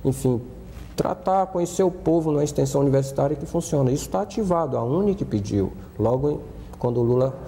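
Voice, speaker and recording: A man's voice: fairly high pitch, lively intonation, fast talker, mellow, in a large hall, a little hiss or hum. A middle-aged Brazilian man from Salvador, a TV studio guest, talking earnestly with frequent restarts. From Brazil, born in Salvador. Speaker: studio guest, former student union adviser. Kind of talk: TV interview talk.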